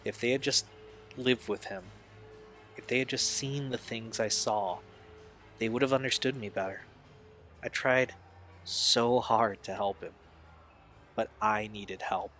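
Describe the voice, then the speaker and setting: A male actor plays a troubled young man with a low voice in an audio drama. He confides a painful past in a halting, earnest way. Music plays beneath him. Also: a bit bright. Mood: defensive, pained.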